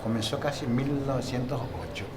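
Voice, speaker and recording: An older man from Paraguay, telling old stories in a rambling, halting way during a casual interview. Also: medium pitch, fairly even intonation, very noisy. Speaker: local guide and interviewee.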